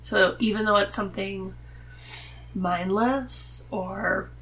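A woman with a nasal, mid-pitched voice talks as a podcast guest. Her delivery is casual and conversational, with some noise behind her. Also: takes it slowly, a bit bright, poor audio. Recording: noticeable background noise.

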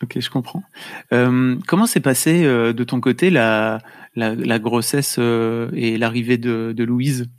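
A man in the interviewer's seat asks gentle, probing follow-up questions, now and then pausing with fillers. His voice sits at a middle pitch and sounds close and dry, with no room echo. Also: steady tone. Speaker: interviewer, podcast host. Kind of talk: conversational interview.

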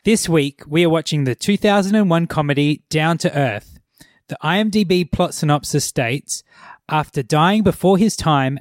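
A man's voice: on the high side, dry recording, clean studio sound. Laid-back Australian man hosting a podcast. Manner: laid-back, laughs often, halting with ums. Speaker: podcast host. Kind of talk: casual chat.

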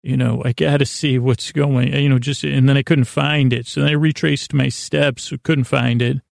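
A man hosting a bedtime podcast in a gravelly voice, meandering through digressions and interrupting himself as he goes. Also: mid-range voice, flat delivery, moderate pace, dry recording, clean studio sound. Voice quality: gravelly, creaky. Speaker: podcast host. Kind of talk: rambling bedtime monologue.

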